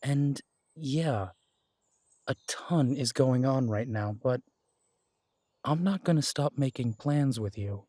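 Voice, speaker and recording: A man plays a steady, protective werewolf detective in an audio roleplay, his husky voice slow and soothing. He speaks gently and without hurry, and the voice sits close and dry. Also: mid-range voice, dry recording. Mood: warm, chatty. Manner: gentle, reassuring, unhurried. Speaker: audio drama performer. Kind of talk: romantic audio drama roleplay.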